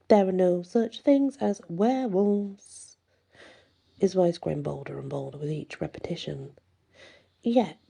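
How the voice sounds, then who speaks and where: Woman, ASMR horror storyteller, low pitch, husky, measured pace, hushed dramatic delivery. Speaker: storyteller, channel host.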